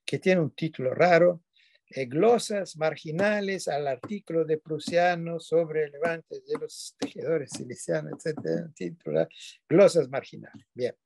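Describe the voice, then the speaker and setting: Gravelly older man lecturing by video call. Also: on the high side, expressive, a little slow, darkish tone, dry recording. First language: not Spanish. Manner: digressive, frequent tag questions, self-corrects. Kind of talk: online lecture. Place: dim home room with bookshelves. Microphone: video call webcam.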